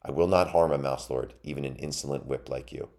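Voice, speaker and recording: A man's voice: extremely low pitch, a little fast, darkish tone. A man voicing a noble, humble male turtle knight with a formal, chivalrous way of speaking. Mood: restrained.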